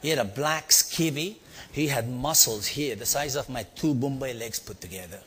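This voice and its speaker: A man's voice: medium pitch, normal speed, a bit bright, a little hiss or hum. A middle-aged man with an Indian accent tells a personal story to a live audience, acting out his exclamations and putting questions to the listeners. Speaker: speaker teaching storytelling. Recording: long reverb of a hall.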